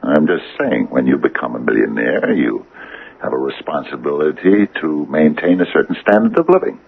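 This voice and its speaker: An actor playing a folksy, gloomy small-town mayor with a deep, gravelly voice and halting speech in a radio drama. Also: male voice, animated, heavily acted. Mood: dry, insistent. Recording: narrow, lo-fi old radio broadcast.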